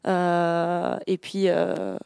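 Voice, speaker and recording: A woman's voice: fairly low pitch, monotone, drawn-out words, vocal fry, darkish tone, smooth, dry recording, studio quality. A young woman from France, an author answering interview questions with many hesitations and restarts. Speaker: author guest. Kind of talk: interview conversation.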